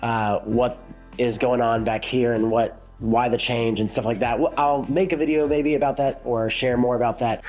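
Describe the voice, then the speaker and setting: A man's voice: mid-range voice, fairly even intonation, moderate pace, darkish tone, soft background music. A congested, sick man talks through a casual reflective vlog as a worship leader, rambling with many fillers and restarts.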